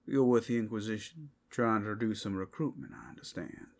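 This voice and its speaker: A man's voice: on the low side, big pitch swings, brisk, creaky, darkish tone, exaggerated voice. A man playing a wry, easygoing werewolf who would rather not fight, talking casually in an ASMR roleplay. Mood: wary, deferential.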